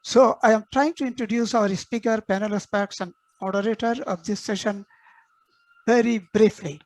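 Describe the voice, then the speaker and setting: A woman hosts an online meeting over a video call, calling on each attendee by name in a formal, measured way. Her voice sits at a middle pitch and sounds dry and close. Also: steady tone, a little mellow, no room sound, low-quality recording. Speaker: meeting host.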